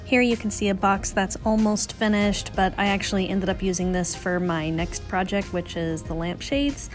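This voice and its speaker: A woman's voice: medium pitch, fairly even intonation, normal speed. A woman giving a casual, chatty and self-deprecating voice-over for a craft tutorial. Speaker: craft vlogger, host. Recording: music under the voice. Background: music.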